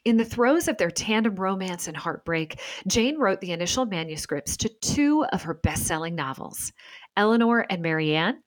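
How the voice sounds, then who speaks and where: Woman podcast host with lively intonation. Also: on the low side, no echo. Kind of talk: scripted narration and interview talk.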